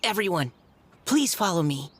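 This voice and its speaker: A woman voicing a composed, earnest young female adventurer in a video game, measured and articulate. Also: on the low side, sing-song. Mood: earnest, focused. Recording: clear game music behind the voice. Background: game music.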